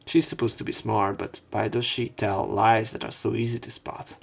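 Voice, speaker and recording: A man with a fairly low voice full of vocal fry hosts a let's play. He reads visual novel narration and dialogue aloud. His own commentary is casual. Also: level tone, normal speed.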